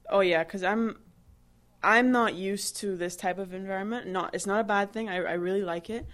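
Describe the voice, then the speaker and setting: A woman's voice: mid-range voice, steady tone, moderate pace, a bit bright. A woman in her twenties from Barbados, answering interview questions in a chatty way, with many fillers and restarts. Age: 20s. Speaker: interviewee, new employee.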